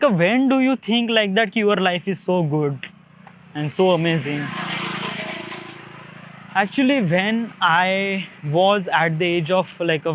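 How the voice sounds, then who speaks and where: Tinny phone quality, young indian guy w indian accent, kinda high voice, just rambling casually, lots of hesitating and repeats. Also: slight background noise. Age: young adult. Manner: hesitant, repetitive, searching for words. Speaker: solo podcaster. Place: outdoors beside a highway, walking. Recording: thin phone-line-like sound.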